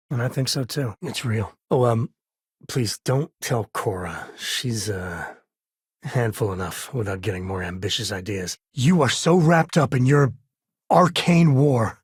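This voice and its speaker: An actor playing an easygoing middle-aged frontier ranger and dad in a space video game, husky and raspy, with a wry, plain-spoken manner. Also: male voice, medium pitch, no room sound, clean studio sound. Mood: tender, bashful, then angry, resolute. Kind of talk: video game character dialogue. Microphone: close, dry studio mic.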